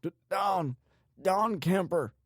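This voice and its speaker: A man's voice: very high voice, normal speed, no room sound, studio quality, voice pushed up. A man voicing a terrified old man in an audiobook, stammering and pleading in agony. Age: older. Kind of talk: audiobook character dialogue.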